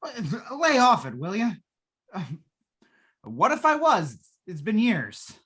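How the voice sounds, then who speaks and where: A woman's voice: mid-range voice, big pitch swings, slow pace, a bit bright, husky, heavily acted. A woman playing a defensive, hesitant company technician, a man, in old-time radio style. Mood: defensive, flustered.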